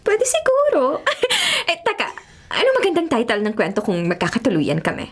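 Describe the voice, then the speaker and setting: An actress playing a feisty, expressive young Filipino college woman, a mayor's bratty daughter, in a radio drama. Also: on the high side, moderate pace, slightly rough, heavily acted. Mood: giddy, teasing. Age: young adult. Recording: loud music under the voice.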